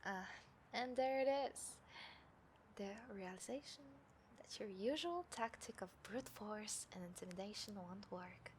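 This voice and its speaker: A woman playing a taunting, confident serial killer in a scripted audio roleplay, speaking to the listener with smug, deliberate menace. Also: medium pitch, slight background noise, close to an everyday voice. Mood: quiet menace. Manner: smug, menacing, deliberate, dropping into breathy whispers. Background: city ambience sound effects.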